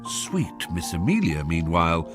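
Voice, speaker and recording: A man's voice: low voice, wildly swooping pitch, a little slow, music in the background, exaggerated voice. A man playing an urbane, ironic English storyteller, narrating a Regency satire for a radio drama. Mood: wry, amused. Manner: ironic, knowing.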